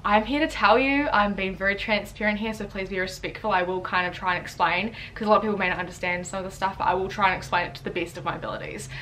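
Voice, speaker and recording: Young New Zealand woman, student vlogger, rapid chatty delivery full of "like", faint room hiss behind. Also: mid-range voice, bright, forceful tone, low-quality recording. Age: young adult. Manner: chatty, fast, lots of "like" fillers, gestures with hands. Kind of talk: casual chat to camera. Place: bedroom, indoors. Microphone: camera in front at chest distance.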